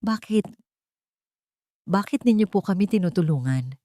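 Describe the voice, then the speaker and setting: A woman's voice: mid-range voice, animated, a little slow, mellow, dry recording, studio quality, lightly acted. A woman voicing a desperate, wronged wife and mother in an audio drama, pleading in a breaking manner. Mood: anxious.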